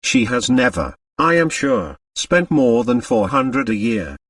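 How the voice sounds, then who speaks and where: A big, breezy middle-aged doctor from a storybook, as a man acts him out. He fumes and blusters with emphatic energy. His pitch moves in lively swings, in a dry but slightly distant recording. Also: a little slow, dry recording. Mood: astonished.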